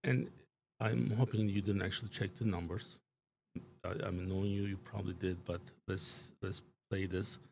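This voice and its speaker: Gravelly low-voiced man in a studio. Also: fairly even intonation, normal speed, darkish tone, slight background noise. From Turkey. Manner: emphatic, rambling, frequent fillers and restarts. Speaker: show guest commentator. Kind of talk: live studio political talk. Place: small studio.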